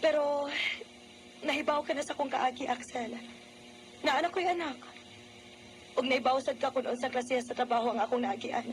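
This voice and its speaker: A woman's voice: high voice, steady tone, normal speed, long pauses, slight background noise, natural voice. A woman with a Cebuano Filipino accent acting a tender young mother ashamed of her past in a radio drama. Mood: hesitant, ashamed.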